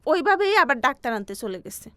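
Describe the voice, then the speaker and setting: A Bangladeshi woman in her forties with a highish voice shares her personal story as a guest. Her telling is halting, and she often circles back to repeat a phrase before moving on. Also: sing-song, bright, forceful tone, no echo. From Bangladesh, Faridpur region. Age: 40s.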